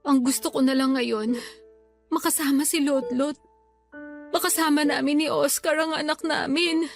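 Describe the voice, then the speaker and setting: A high-voiced mother, worn down by grief yet loving, speaks in a dramatic radio play. Soft music runs behind her. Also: fairly even intonation, moderate pace. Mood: weary grief. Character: grieving, weary, loving mother of a sick child.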